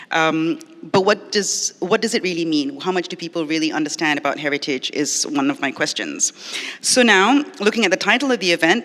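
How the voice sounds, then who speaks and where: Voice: medium pitch, bright, forceful tone, big-room sound. A middle-aged lecturer and arts educator from Malaysia gives an academic forum talk, partly read from notes, earnest and explanatory with frequent ums and uhs. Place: library event space at a public forum.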